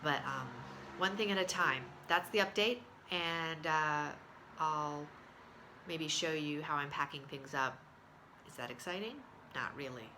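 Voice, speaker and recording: Congested-sounding woman with a low, bright voice. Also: a little slow, a little hiss or hum. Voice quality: congested, nasal. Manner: chatty, with many fillers and restarts. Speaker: vlogger sharing a health diary. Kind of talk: casual video diary update.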